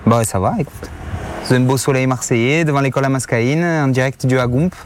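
A man's voice: mid-range voice, a little fast, a little hiss or hum. A man hosts a casual podcast interview outdoors, often hesitating and repeating words as he searches for phrasing. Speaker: podcast host. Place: outdoors in the sun.

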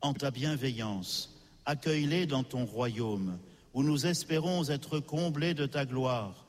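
A man presiding at Mass leads the prayer in slow, solemn intoning. His voice is mid-pitched and unhurried. A long reverb from the church follows every phrase. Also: expressive. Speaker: presiding priest. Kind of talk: liturgical prayer.

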